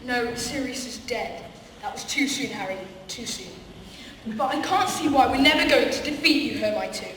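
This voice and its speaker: A woman's voice: on the high side, animated, normal speed, a bit bright. A young woman playing an earnest, dramatic student wizard in a comic amateur radio play. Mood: melodramatic. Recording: very echoey hall from far off, faint noise.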